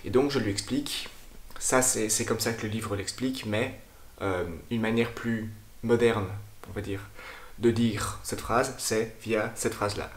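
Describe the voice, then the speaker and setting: A man, a language teacher and channel host, talking to camera in a thoughtful, explanatory way, often pausing to hesitate. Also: lowish voice, steady tone, echoey room. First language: French. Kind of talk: casual explanatory talk to camera.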